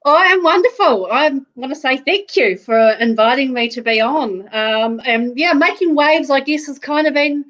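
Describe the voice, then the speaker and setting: A woman's voice: high-pitched, normal speed, hardly any pauses, fairly bright tone, highs cut off. A woman in her thirties from New Zealand with a New Zealand accent, guest on a live interview show, talking earnestly and laughing between phrases.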